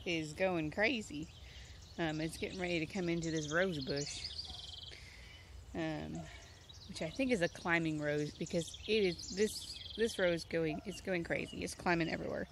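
A woman's voice: low voice, a little slow, background noise. A woman in her thirties giving a casual, rambling tour of her backyard garden, filled with ums as she thinks aloud, recorded outdoors on a handheld phone. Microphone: handheld phone camera. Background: birds and outdoor nature sounds.

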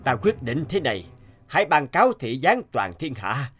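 Solemn, expressive cartoon king. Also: mid-range voice, moderate pace, husky, soft background music. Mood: resolute. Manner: stately, declarative. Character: solemn, commanding king in a fairy-tale court. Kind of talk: animated fairy-tale cartoon acting.

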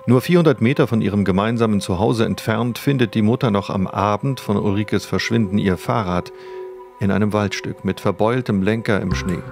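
A man with a fairly low voice narrates a true-crime documentary from a script. Music plays clearly behind him. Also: fairly quick, darkish tone.

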